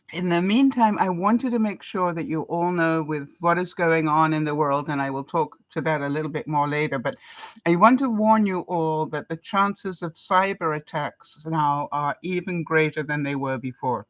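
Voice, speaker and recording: An older woman from Canada with a stuffed-up nose, hosting a casual live-stream chat and often pausing with ums and small laughs. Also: low voice, dry recording. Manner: chatty, with frequent ums and soft laughs. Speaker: vlogger hosting a live broadcast.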